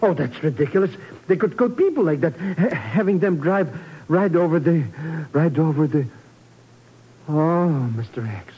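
Nervous comic sidekick, high-pitched, swooping intonation, whining, stammering, vintage radio drama. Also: male voice, lively intonation, unhurried, breathy edge. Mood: shaken disbelief. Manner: stammering, exclamatory, indignant. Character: jittery, whining comic male sidekick, fearful complainer. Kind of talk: 1950s radio drama acting. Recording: dull, noisy old radio recording.

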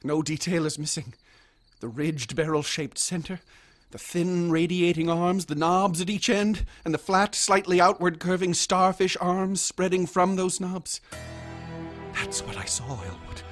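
An actor playing an obsessed young student haunted by nightmares, in an intense, florid radio drama style. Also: male voice, sits high, measured pace, fairly bright tone, faint music behind. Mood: shaken, insistent.

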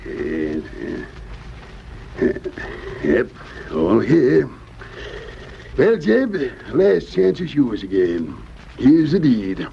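Gravelly, swooping old prospector. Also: male voice, mid-range voice, huge pitch swings, very slow, pauses often, heavily acted. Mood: sly satisfied. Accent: rural American. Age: older. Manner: folksy, drawling, sly. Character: wily, folksy old male gold prospector. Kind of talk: old-time radio drama acting. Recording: dull noisy vintage radio recording.

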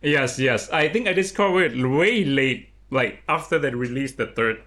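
Lao-man, halting speech. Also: medium pitch, lively intonation, pushed and bright. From Laos. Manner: halting, repeats words while searching for English. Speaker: guest artist. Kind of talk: casual conversation.

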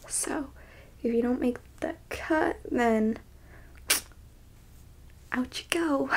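A woman playing a smug, teasing alien abductor in an ASMR roleplay, speaking softly in breathy half-whispers with condescension. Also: high voice, heavily acted. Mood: coolly menacing. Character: smug, teasing female alien abductor examining a captured specimen.

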